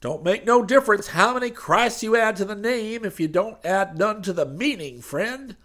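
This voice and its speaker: A man doing a high wheedling preacher. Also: lively intonation, moderate pace, fairly bright tone. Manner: high sugary pleading voice, Southern drawl. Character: fast-talking oily male radio preacher, wheedling.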